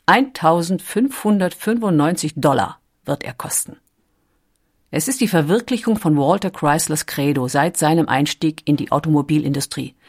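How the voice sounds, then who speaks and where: Steady documentary narrator, mid pitch. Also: normal speed.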